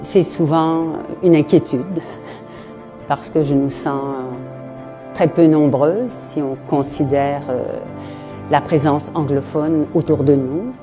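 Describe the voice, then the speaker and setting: A woman writer from Quebec speaking slowly and pensively in an interview, with some hesitations. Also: sits low, fairly even intonation, over music. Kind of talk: reflective interview testimony.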